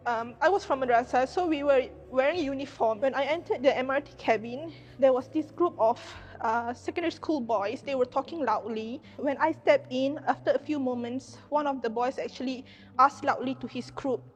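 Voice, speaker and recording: A young woman shares personal stories on a discussion panel. Her voice sits high and she speaks with earnest sincerity. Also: normal speed, lo-fi sound. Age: 20s. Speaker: lecturer.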